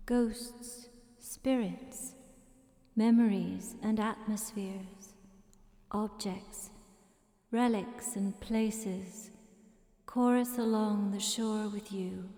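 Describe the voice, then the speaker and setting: A woman reading poetic narration in a gentle, solemn, meditative manner, measured and pausing between phrases. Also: mid-range voice. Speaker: poet reading own work. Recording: long echoing reverb with music behind. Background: music.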